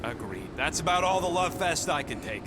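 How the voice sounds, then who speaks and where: A man's voice: on the high side, expressive, normal speed, background noise, close to an everyday voice. A man voicing a small, brash, foul-mouthed creature in a video game, combative, blunt and slangy. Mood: blunt, indignant. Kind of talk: animated video game acting. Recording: music behind the voice.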